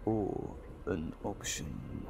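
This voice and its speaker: An actor playing a sadistic, gloating evil doctor in an audio drama, theatrical and menacing with a gravelly voice. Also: male voice, low voice, expressive, extremely slow, background noise, exaggerated voice. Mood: lecturing. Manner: theatrical, gloating, swooping between croons and outbursts. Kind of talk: audio drama villain acting.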